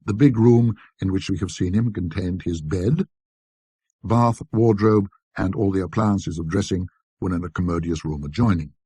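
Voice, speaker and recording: A man with a husky, raspy voice narrating a classic literary ghost story in a precise, scholarly, measured manner. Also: low voice, dark tone, no room sound.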